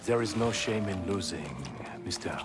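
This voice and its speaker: A man acting a smug, taunting high-stakes casino gambler in a video game cutscene. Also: moderate pace, close to an everyday voice. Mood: condescending. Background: music.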